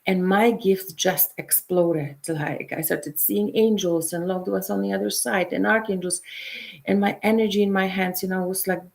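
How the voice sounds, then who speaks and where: A middle-aged woman from Poland with a Polish accent, a counselor hosting a show and leading a guided meditation before telling her own story, speaking softly and sometimes dropping to a whisper. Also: mid-range voice, fairly even intonation, gravelly. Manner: soft, unhurried, drops to a whisper at times. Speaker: intuitive counselor and show host.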